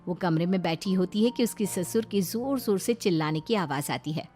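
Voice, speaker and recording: A woman narrating a family story in an expressive, dramatized storytelling style. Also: lowish voice, moderate pace, faint music behind.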